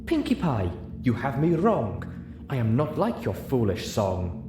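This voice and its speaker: A storyteller voicing a dignified, wronged female zebra in a measured, earnest manner. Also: medium pitch, wildly swooping pitch, reverberant, noisy background. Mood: hurt, earnest.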